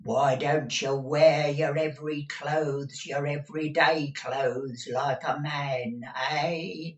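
A man voicing a deaf, blunt, cantankerous old housekeeper woman in a storybook reading, with drawn-out querulous rustic speech. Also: a little high, fairly even intonation, muffled recording, voice pushed up. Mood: sharp, scornful. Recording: distant, echoey room recording.

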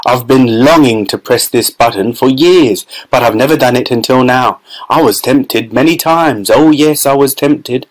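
A man voicing an excitable, whimsical candy maker who talks in giddy, exclamatory bursts. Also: mid-range voice, close to an everyday voice, low-quality recording. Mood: gleeful.

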